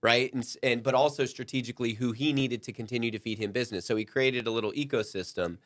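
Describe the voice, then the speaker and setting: A man with a mid-pitched voice hosts a casual panel conversation. He banters with the others and takes his time laying out his points in full. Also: steady tone, fast, a bit bright. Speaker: podcast host.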